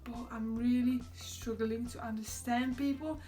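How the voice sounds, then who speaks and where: A young woman from Poland with a Polish accent, chatting to camera in a relaxed vlog and laughing often. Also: measured pace, soft background music. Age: young adult. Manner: chatty, laughs often, quotes others in a higher voice. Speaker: vlogger. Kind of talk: casual chat to camera.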